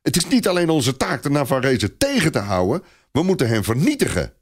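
A man's voice: medium pitch, big pitch swings, brisk, voice pushed up. A man voicing a haughty, self-satisfied French viscount in a story, with a proclaiming manner. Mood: shouting, forceful.

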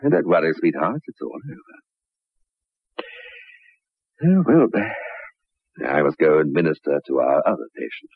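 An actor playing a scheming, outwardly helpful husband in a 1960s radio drama, with a raspy voice. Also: male voice, very deep voice, wildly swooping pitch, measured pace, long pauses, no echo, heavily acted. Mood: soothing, brisk. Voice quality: gravelly, raspy. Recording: muffled narrow-band old radio recording.

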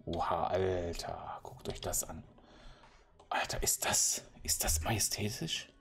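A man's voice: low voice, huge pitch swings, slow pace, gravelly, fairly bright tone, whispered, soft background music. A German man giving casual, playful commentary while playing a game, chatting to the animals and villagers he meets. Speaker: let's play commentator.

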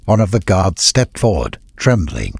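Male audiobook narrator: lowish, husky, scripted fantasy storytelling. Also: sing-song, a little slow, no echo.

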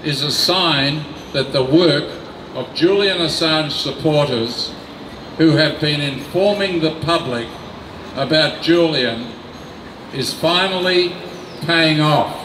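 An older man reads a prepared rally speech at a very slow, deliberate pace, stressing key words. His voice sits slightly high. The sound echoes across an open city square, picked up from a distance with faint noise behind. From Australia. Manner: slow, deliberate, emphatic reading from notes. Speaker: rally speaker. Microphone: mic on a stand.

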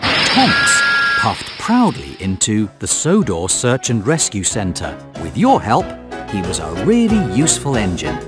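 A man narrating a children's game in a scripted, encouraging, clearly enunciated storytelling manner. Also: lowish voice, huge pitch swings, unhurried. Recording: music mixed under the voice. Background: music.

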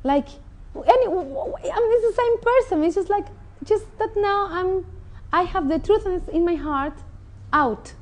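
A woman with a Mexican Spanish accent and a high yet mellow voice, talking earnestly and with feeling. She sounds middle-aged and speaks as a guest on a TV studio set. Her voice comes through close on a clip-on lapel mic. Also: expressive, measured pace. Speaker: interview guest. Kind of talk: personal testimony.

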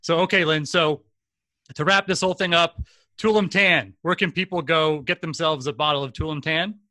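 A man's voice: on the high side, normal speed, bright, forceful tone, dry recording. A man in his forties hosting a podcast over a video call, enthusiastic and rambling with lots of fillers, at a desk mic at home. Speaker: podcast host. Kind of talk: casual podcast interview. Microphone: desk condenser mic, video call.

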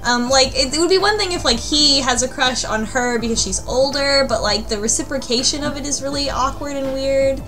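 A woman's voice: high voice, brisk, hardly any pauses, a bit bright, soft background music. A woman hosting a casual group discussion of a game, talking in a wry, joking way.

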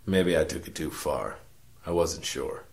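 A world-weary private eye acted by a man narrates a noir parody radio drama. The voice is low with a heavy creak, and the delivery is wry, deadpan and full of self-importance. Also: a little slow, heavily acted. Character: cynical world-weary hard-boiled male detective narrating his case.

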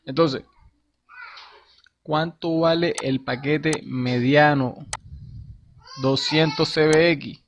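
A man's voice: fairly high pitch, fairly even intonation, takes it slowly, pauses often. Chatty man walking through a screen tutorial. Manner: informal, addresses viewer directly with slang. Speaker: crypto game tutorial host. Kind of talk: screen-recorded tutorial narration. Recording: dull lo-fi voice with faint hiss.

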